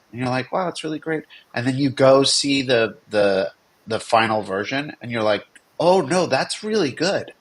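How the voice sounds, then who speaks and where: A man's voice: medium pitch, a bit bright. A man co-hosting in a casual, unscripted chat, talking in a rambling, jokey way. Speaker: co-host and game developer.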